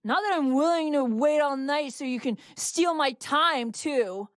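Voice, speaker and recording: A woman's voice: sits high, a little slow, no room sound, clean studio sound, pitched above the performer's own voice. A woman voicing a brash, scornful young treasure-hunting woman in a visual novel, bratty and blustering. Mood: brash, needling. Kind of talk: visual novel character dub.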